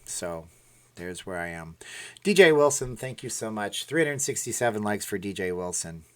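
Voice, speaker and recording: A man's voice: fairly low pitch, lively intonation, normal speed. A tired man hosting a casual livestream, reading out viewer comments and rambling emotionally. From Minnesota. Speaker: livestream host.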